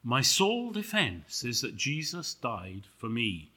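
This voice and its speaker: A man's voice: mid-range voice, big pitch swings, a little slow, pushed and bright, husky, heavily acted. A man playing a humble, resolute apostle who answers plainly and firmly in a sermon sketch. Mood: calm, firm.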